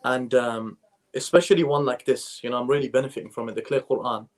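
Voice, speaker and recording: Soft-spoken, hesitant man. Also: mid-range voice, steady tone, quick pace. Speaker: panel guest. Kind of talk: casual discussion.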